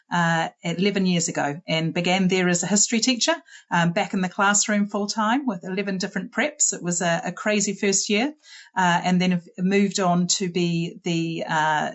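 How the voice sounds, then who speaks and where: A middle-aged woman with a New Zealand accent giving an online school presentation, brisk with many fillers. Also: fairly low pitch. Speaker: head of college presenting.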